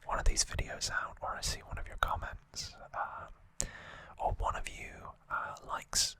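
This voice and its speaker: An ASMR host speaking in a gentle, halting, unvoiced whisper throughout. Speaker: host of an anime trivia channel.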